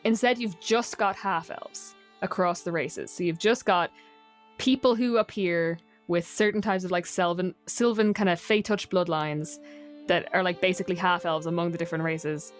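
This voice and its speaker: A woman's voice: lowish voice, fairly even intonation, moderate pace, soft background music. A woman talking casually about folklore and games, opinionated and given to rambling asides.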